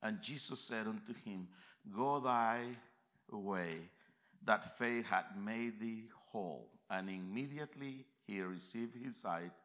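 A man from America, preaching a sermon in a church, emphatic and swinging between quiet reflection and loud fervent peaks. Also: lowish voice, slow. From Central America. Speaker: visiting preacher.

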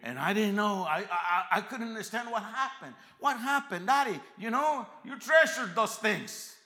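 A man from America, preaching a sermon in a church, emphatic and swinging between quiet reflection and loud fervent peaks. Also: high-pitched, lively intonation, moderate pace. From Central America. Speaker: visiting preacher.

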